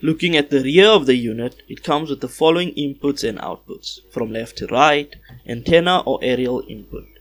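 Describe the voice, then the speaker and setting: A man reading a scripted product unboxing voiceover in a measured, instructional way, recorded on a small external car-radio microphone. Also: medium pitch, lively intonation, gravelly. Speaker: host of a car audio channel. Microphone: small external car-radio microphone, cleaned-up voiceover.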